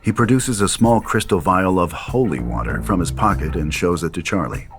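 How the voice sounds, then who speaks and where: A man reading scripted dramatic stage directions as a narrator, in a deliberate storytelling manner. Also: low voice, expressive, over music.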